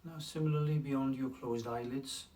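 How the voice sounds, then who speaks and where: A man leading a guided mindfulness meditation in a calm, unhurried way, leaving long pauses between phrases. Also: mid-range voice. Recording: distant mic with faint room noise.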